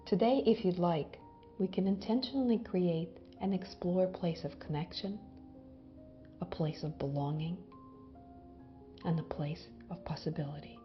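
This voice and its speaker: A woman leading a guided visualization in a gentle, hypnotic way, with long pauses and soft phrase endings that trail off toward a whisper. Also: fairly low pitch, highs cut off. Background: background music.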